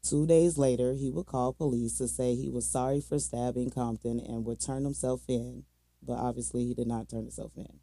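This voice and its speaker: A man's voice: mid-range voice, steady tone, moderate pace, dark tone. An American man co-hosting a true crime podcast, telling the case casually in slangy, colloquial language. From Minnesota, United States.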